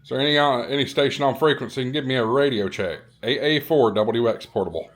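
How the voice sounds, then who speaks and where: A man's voice: mid-range voice, fast. A man talks in a casual vlog style from a hotel room. He is easygoing and a little hesitant, circling back over his phrases as he goes. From American South. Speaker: vlogger and ham radio YouTuber.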